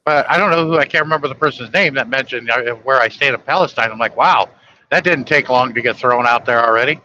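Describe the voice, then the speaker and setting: Bright, forceful man on noisy narrow audio. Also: mid-range voice, fast talker. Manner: blunt, griping, swears casually. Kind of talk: casual late-night chat.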